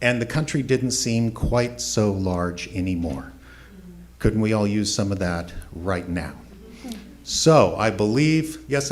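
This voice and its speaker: A man hosting and announcing a live radio drama show in an old-time broadcast style. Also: fairly low pitch. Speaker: announcer and host.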